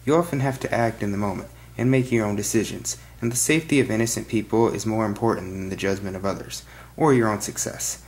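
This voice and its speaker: A young man reading a film review in a flat, monotone manner, recorded on a webcam in a small bedroom. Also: lowish voice, fast talker. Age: young adult. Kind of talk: read-out film review monologue. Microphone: webcam at desk.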